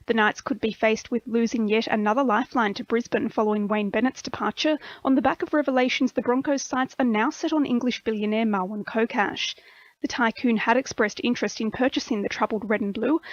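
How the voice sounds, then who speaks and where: A woman's voice: fairly high pitch, no echo. A woman with an Australian accent reading a scripted sports bulletin on radio. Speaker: sports news reader. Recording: dull lo-fi radio audio.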